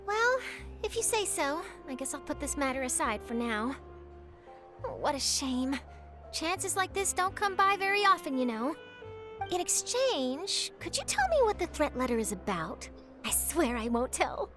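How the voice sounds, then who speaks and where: A woman's voice: high voice, very animated, a bit bright, exaggerated voice. A woman voicing a curious young female journalist in a game, chatty and persuasive but principled. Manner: chatty, coaxing, quick to explain herself. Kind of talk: game voice acting. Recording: game dialogue over music.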